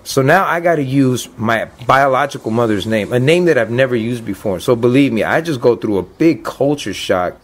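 A man's voice: medium pitch, lively intonation, moderate pace, hardly any pauses. A man in his fifties, a deported veteran, speaking plainly and earnestly about his life in a documentary interview. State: choked up.

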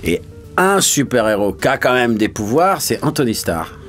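A man's voice: medium pitch, big pitch swings, normal speed, fairly bright tone, soft background music. A man, a voice actor talking casually in an interview, colloquial and searching for his words. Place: small recording studio.

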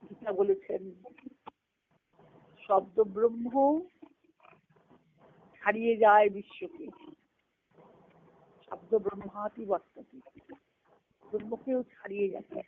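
A woman's voice: mid-range voice, little pitch movement. A woman leading a devotional teaching on a conference call, reflective and explaining step by step, often asking a question and then answering it. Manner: recites scripture terms in a measured cadence. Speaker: spiritual discourse leader. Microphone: phone on a conference call. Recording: tinny conference-call phone line.

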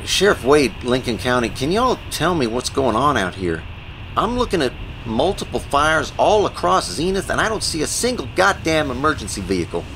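Man playing a shaken small-county sheriff, swooping pitch. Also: big pitch swings, moderate pace, fairly bright tone, slight background noise, poor audio. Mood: urgent. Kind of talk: audio drama dialogue over radio.

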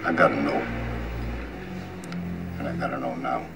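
An actor playing a menacing older mob boss who speaks slowly and deliberately, with quiet threat. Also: male voice, a bass voice, fairly even intonation. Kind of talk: film dialogue. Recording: film soundtrack with music underneath.

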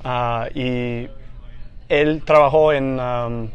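A young man with an American accent, a native English speaker, talking in a TV studio interview, halting and searching for words, a little nervous and laughing. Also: mid-range voice, steady tone, clean tone, muffled recording. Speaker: news anchor guest. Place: small TV studio, seated on couch.

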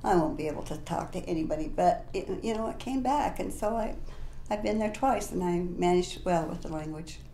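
A woman's voice: on the low side, brisk, vocal fry, mellow. An older woman who grew up in a small mining town, recalling her school days in an interview with light amusement. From a small Utah mining town. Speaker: interviewee, alumna. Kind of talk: casual reminiscing. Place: room with large windows, indoors. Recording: roomy with faint hiss.

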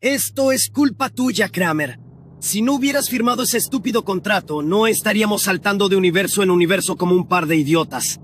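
Man voicing a high-pitched, exasperated scold. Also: brisk, natural voice. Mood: indignant. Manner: scolding, indignant. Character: furious, exasperated adult man, nagging cartoon adventurer. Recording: loud car engine noise behind.

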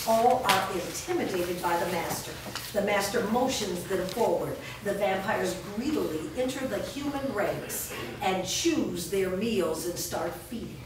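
A woman reading scripted narration as the stage directions reader at a staged reading. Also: mid-range voice. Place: bare studio stage room, indoors. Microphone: far camera. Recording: very echoey room, distant mic, faint noise.

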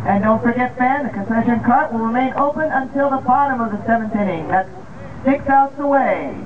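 An American woman working as a baseball public address announcer, reading scripted announcements in a measured way over an outdoor ballpark's loudspeakers. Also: on the high side, expressive, a crowd in the background. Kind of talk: scripted PA announcing of lineups, promotions and game updates. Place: outdoor baseball field, heard over the PA system. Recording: tinny distant ballpark loudspeaker, outdoor noise.

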